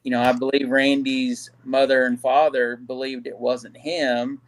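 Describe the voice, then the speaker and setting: Halting man from Kansas. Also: mid-range voice, level tone, low-quality recording. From Kansas City, Kansas. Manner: halting, leans heavily on "you know". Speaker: guest. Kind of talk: casual live-stream discussion.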